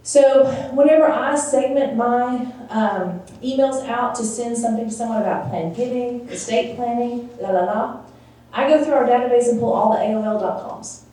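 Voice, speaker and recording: A woman's voice: on the high side, normal speed, dark tone. A woman from a community foundation answering an audience question at a conference, talking in a theatrical, projected voice. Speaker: foundation staff speaker. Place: large echoey conference room. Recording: echoey room, distant mic, faint noise.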